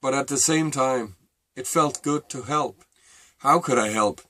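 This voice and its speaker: Man, danish accent, middle-aged, halting and reflective, lots of pauses and fillers, medium pitch. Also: fairly bright tone, dry recording. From Denmark. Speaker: vlogger. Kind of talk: personal storytelling to camera.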